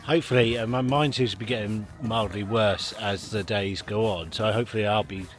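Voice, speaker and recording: A man's voice: fairly low pitch, brisk. A man chatting casually to camera in a family vlog, rambling and chuckling between remarks. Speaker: family vlogger. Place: outdoors in a car park, then at a leisure pool. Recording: handheld vlog with loud background noise.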